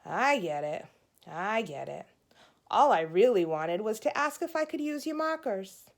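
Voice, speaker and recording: A woman's voice: medium pitch, sing-song, unhurried. A young boy in a storybook, played by a woman reading aloud, the pestering little brother type. He is curious and wide-eyed, always questioning, with an eager delivery. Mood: pleading. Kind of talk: children's storybook read-aloud.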